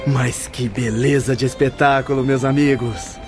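A man's voice: medium pitch, steady tone, measured pace, natural voice. An actor playing a courteous, welcoming male spirit guide in a radio drama, formal and hospitable. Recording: music under the voice.

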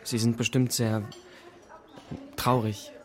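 A man's voice: mid-range voice, little pitch movement, slow, close to an everyday voice. Actor as a shy, hesitant young man. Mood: hesitant, subdued. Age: young adult. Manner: hesitant, polite. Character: shy, subdued young male music student. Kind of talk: naturalistic radio drama acting.

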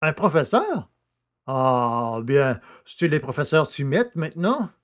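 An actor playing a plain-spoken, naive poacher held in prison in an audio drama, grumbling in a folksy, expressive way. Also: male voice, a little high, unhurried. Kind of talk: French audio drama acting.

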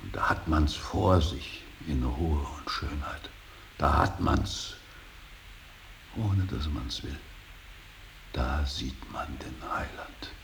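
An actor playing a stern, brooding old painter and father in a radio drama, solemn and intense, moving between hushed breathy words and forceful declaiming. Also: very deep voice, slow, pauses often, exaggerated voice. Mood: hushed reverence. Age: older. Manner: solemn, intense, swings from hushed whisper to outburst. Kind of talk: 1980s German radio drama acting. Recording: hissy radio drama recording.

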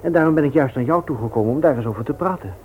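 An actor playing an earnest, reserved widowed father in a radio play, speaking in a hesitant, halting way. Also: medium pitch, lively intonation, lightly acted. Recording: tinny old radio recording with hiss.

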